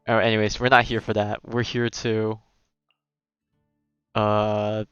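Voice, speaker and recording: A man's voice: lowish voice, little pitch movement, slow, fairly bright tone, clear, resonant tone, no room sound, dull audio, soft background music. A man giving casual livestream game commentary, rambling and thinking aloud in a hesitant way. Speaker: game streamer.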